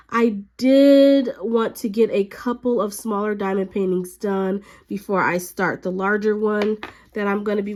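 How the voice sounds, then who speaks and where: A woman hobby vlogger who is a little sick and a bit raspy, chatting casually with lots of fillers while she works at her craft desk at home. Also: mid-range voice. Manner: chatty, relaxed, frequent fillers.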